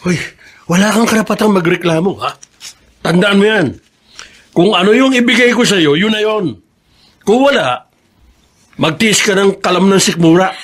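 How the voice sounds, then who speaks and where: An actor playing a bullying, short-tempered husband, harsh and domineering, in a Filipino radio drama. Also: male voice, sits high, moderate pace, long pauses, fairly bright tone. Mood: scornful, threatening.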